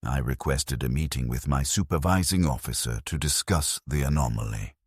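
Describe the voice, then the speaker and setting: Deep husky male story narrator. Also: no echo, clean studio sound. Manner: grave, measured, detached. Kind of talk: first-person scripted story narration.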